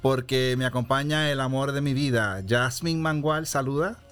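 A man's voice: mid-range voice, normal speed, a bit bright, clear, resonant tone, soft background music. A man in his fifties, usually the show's host, speaking as a guest on a church radio show in a playful way, laughing easily. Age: 50s. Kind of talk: radio interview.

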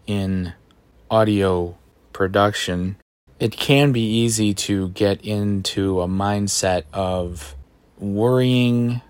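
A man with a baritone voice hosting a solo podcast, thinking aloud in a reflective, self-deprecating way, recorded on a phone in a bedroom at home. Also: dry recording. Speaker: podcast host. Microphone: phone microphone.

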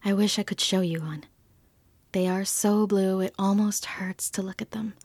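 A woman playing an earnest, wonderstruck field naturalist, giving a measured, lyrical report in an audio drama with a soft, breathy voice. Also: mid-range voice, steady tone, dry recording, close to an everyday voice. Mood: wistful wonder. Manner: thoughtful, lyrical, unhurried.

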